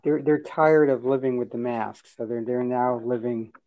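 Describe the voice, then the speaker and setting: A man raised with Yiddish and Hebrew, chatting casually in a plain, repetitive, low-key way. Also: fairly even intonation, normal speed, no echo, narrow, tinny audio. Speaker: podcast co-host. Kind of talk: casual chat.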